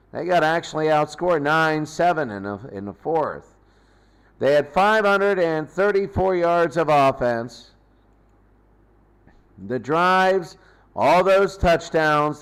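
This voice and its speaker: Male sports host, unhurried and clean-voiced, giving an off-the-cuff results rundown in clipped phrases. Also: fairly high pitch, smooth. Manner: repeats scores, speaks in short fragments. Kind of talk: casual unscripted sports recap commentary.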